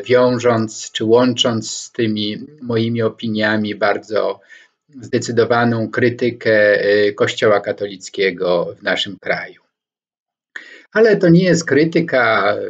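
A man in his sixties from Poland, giving a reflective lecture to camera from his home study, pausing often with hesitation sounds as he picks his words. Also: fairly low pitch, a bit bright. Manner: slow and deliberate, frequent hesitation fillers, gestures with both hands. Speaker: scholar commentator. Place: home study with bookshelves, indoors. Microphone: webcam or laptop microphone, head-and-shoulders framing. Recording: dull lo-fi webcam sound.

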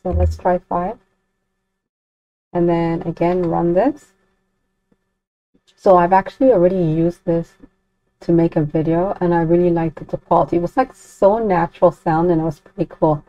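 A woman giving a casual software walkthrough as a tutorial host, talking as she works through the steps. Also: fairly low pitch, steady tone, moderate pace, pauses often, no room sound. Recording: dull lo-fi home recording.

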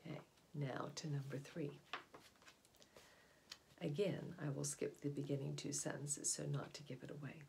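A middle-aged woman with an American accent reading aloud to children like a storyteller, pausing to address the listener with explanations and questions. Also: low-pitched, flat delivery, creaky, warm tone, a little hiss or hum. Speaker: read-aloud presenter. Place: indoor room at home.

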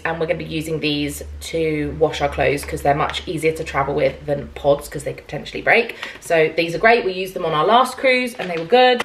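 A young woman chatting to camera in her kitchen about her shopping haul, upbeat and lively. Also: low-pitched, slight background noise. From England. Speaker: vlogger. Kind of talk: casual haul video talk to camera. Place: kitchen at home, indoors.

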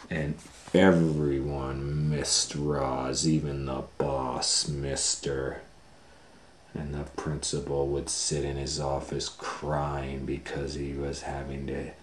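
Storytime host: man, very low voice, emphatic drawn-out read-aloud delivery, roomy echo. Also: darkish tone, a little hiss or hum. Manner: drawn-out emphatic phrasing with asides. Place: indoor room, reading a book on a table. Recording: roomy, echoey indoor sound.

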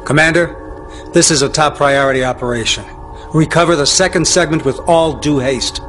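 A man's voice: fairly high pitch, normal speed, a bit bright, slight background noise, natural voice. A middle-aged man playing a stern, commanding general in a video game cutscene, clipped and authoritative. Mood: stern, measured.

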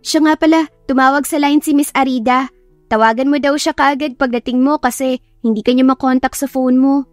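A woman's voice: high voice, fairly even intonation, brisk, no room sound, pitched above the performer's own voice, close to an everyday voice. A woman voicing a respectful, earnest young female secretary with a Filipino accent and a polite, helpful manner. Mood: informing. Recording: music behind the voice.

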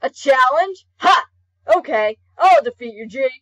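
A woman's voice: high voice, expressive, measured pace, fairly bright tone, voice pushed up, exaggerated voice, poor audio. A woman voicing a brooding, flustered, hot-tempered skeleton young man in an amateur comic dub, hesitant and stammering. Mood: cocky.

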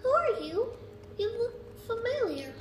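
A plucky little pony girl, voiced by a woman, very high and lively, curious and frank in a cartoon drama. The playback is echoey and noisy. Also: measured pace, full character voice. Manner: childlike, frank. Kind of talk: cartoon audio drama acting.

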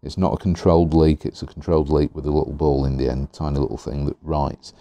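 A man reviewing fountain pens in an easygoing, chatty way, a little hoarse, with fillers and repeated words. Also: rumbling low, level tone, fast, mellow, no room sound. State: slightly hoarse. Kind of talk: relaxed product review. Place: indoors at a desk. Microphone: overhead camera on the hands, speaker not in frame.

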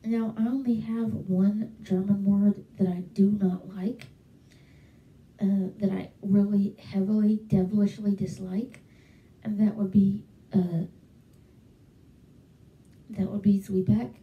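A woman's voice: mid-range voice, level tone, slow pace, pauses often, phone-quality sound. Chatty young woman in an echoey bedroom. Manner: chatty, enthusiastic, many fillers. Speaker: vlogger. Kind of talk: casual talk to camera. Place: small bedroom at home. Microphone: handheld mic held close, webcam. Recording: roomy echo, from a distance.

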